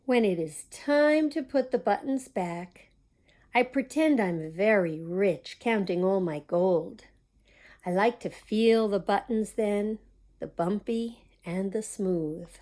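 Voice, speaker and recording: A woman's voice: mid-range voice, takes it slowly, darkish tone. A woman reading a children's picture book aloud as a storytime host, expressively and with small asides to young listeners. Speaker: storytime reader at a community library.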